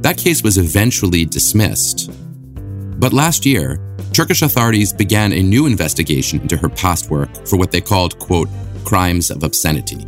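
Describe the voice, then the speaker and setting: A man hosting a podcast, reading a scripted introduction and asking interview questions in a measured, academic way. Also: low voice, lively intonation, faint music behind. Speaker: podcast host.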